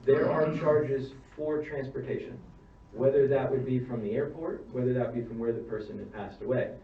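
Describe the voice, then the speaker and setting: Funeral director answering questions in a hall. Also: male voice, mid-range voice, normal speed. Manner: plain-spoken, explaining step by step with restarts. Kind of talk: answering audience questions at a seminar. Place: church hall seminar. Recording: distant mic, roomy hall, dull sound, faint hum.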